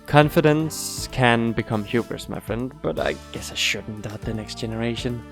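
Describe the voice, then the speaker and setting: An actor playing a courteous, lightly teasing school interviewer in an audio drama, formal and measured. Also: male voice, mid-range voice, soft background music, lightly acted. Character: courteous, teasing male school interviewer, former talent scout.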